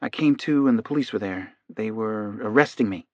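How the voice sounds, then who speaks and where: Man voicing a soft, hesitant male defendant. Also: fairly low pitch, dry recording, lo-fi sound, close to an everyday voice. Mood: hesitant recalling. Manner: soft, halting, half-distracted. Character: hypnotized male defendant, meek, earnest, hesitant.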